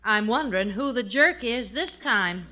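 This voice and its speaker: An exasperated wife in a vintage radio sitcom. Her high, sharp voice leaps around as she scolds, emphatic and no-nonsense. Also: animated, normal speed. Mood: worried, suspicious. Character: exasperated, suspicious, no-nonsense housewife. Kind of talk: 1950s radio sitcom acting.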